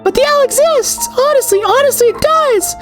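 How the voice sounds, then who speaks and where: A woman voicing a stubborn, excitable little sister, childish and insistent, reading video game dialogue aloud. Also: very high-pitched, normal speed, music in the background, voice pushed up. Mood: frightened, pleading.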